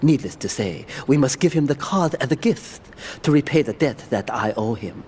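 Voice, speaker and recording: An actor playing a ruthless, honor-obsessed crime boss who gives orders in formal, grave language. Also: male voice, medium pitch, animated, moderate pace, a little mellow, slight background noise, lightly acted. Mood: commanding.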